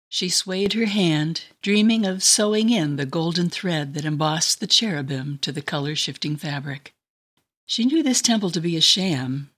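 A woman reading a scripted dramatic narration in an expressive, storybook manner. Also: lowish voice.

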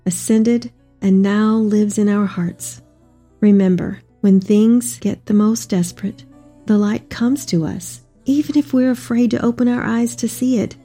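An elderly, devout mother played by a woman reads her letter as audio drama, affectionate and expressive. Her voice is warm and mellow at a middle pitch, with music playing behind her. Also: lightly acted. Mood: hopeful, solemn.

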